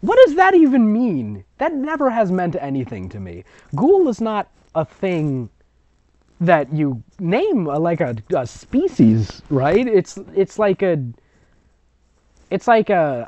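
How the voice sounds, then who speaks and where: Animated, sarcastic fan fiction reader, lo-fi sound. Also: medium pitch, unhurried, dry recording. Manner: sarcastic, rambling. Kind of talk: mocking commentary on a read-aloud story. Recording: dull lo-fi audio, close and dry.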